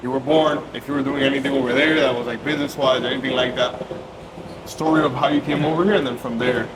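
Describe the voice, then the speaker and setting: A man hosting an interview, asking long, rambling questions at an unhurried pace. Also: medium pitch, fairly even intonation. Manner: slow, rambling, probing questions. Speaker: host, interviewer. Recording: echoey room with loud noise.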